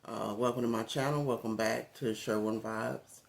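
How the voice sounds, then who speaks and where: A man with a raspy, gravelly voice talks casually into his phone as a content creator. He rambles in a relaxed way, full of fillers, at an everyday middle pitch. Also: moderate pace. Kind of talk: casual talk to camera.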